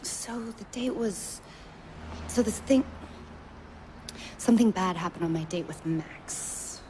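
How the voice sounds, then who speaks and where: An actress playing an anxious, self-pitying, fragile young woman in a live stage play, speaking haltingly and putting herself down. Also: medium pitch, natural voice. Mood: upset, hesitant. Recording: faint noise, live theater stage.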